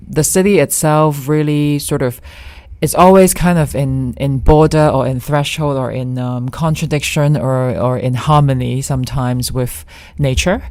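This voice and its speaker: A woman from Hong Kong answering as an interview guest, conversational and reflective, pausing often as she thinks. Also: rumbling low, darkish tone, dry recording.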